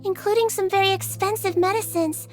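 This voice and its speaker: A woman playing a small, sweet nonhuman girl nurse in a video game, speaking in a gentle, measured, earnest way. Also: very high-pitched, fairly even intonation, soft background music, rough recording. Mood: calm, serious. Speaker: nurse and doctor. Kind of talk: scripted game dialogue.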